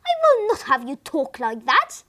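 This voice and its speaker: A woman's voice: high-pitched, wildly swooping pitch, moderate pace, bright, forceful tone, heavily acted. A woman doing a fussy doting old wife. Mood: pleading. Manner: pleading, reproachful. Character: fussy, doting elderly wife who knits.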